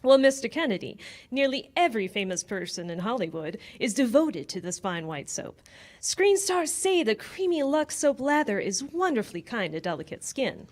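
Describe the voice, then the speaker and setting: A woman's voice: on the high side, sing-song, normal speed. Woman as a lilting, gushing 1940s Hollywood reporter. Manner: playful, gushing. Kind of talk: 1940s radio acting. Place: live stage performance at a public library.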